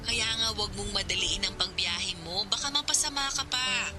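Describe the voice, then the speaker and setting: An actress playing a caring Filipino older sister in a radio drama. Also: female voice, medium pitch, moderate pace, over music, close to an everyday voice. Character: concerned older sister on a phone call.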